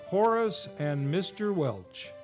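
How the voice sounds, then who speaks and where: High-pitched, unhurried male radio announcer. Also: sing-song. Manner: showy, grandly enunciated. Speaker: host and announcer. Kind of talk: vintage radio show announcing, scripted. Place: theater stage, live show. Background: faint music.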